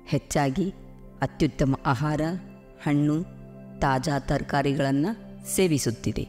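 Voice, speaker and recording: A man guiding a visualization meditation in a slow, soothing way with deliberate pauses. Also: on the high side, fairly even intonation, darkish tone. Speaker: meditation guide. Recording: music behind the voice. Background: clear background music.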